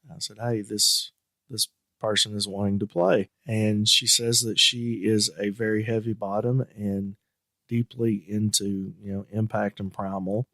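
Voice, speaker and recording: A man's voice: on the low side, steady tone, a little slow, sharp tone, dry recording. A man hosting a casual podcast discussion, talking in an earnest, emphatic way that turns heated on some points. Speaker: podcast host.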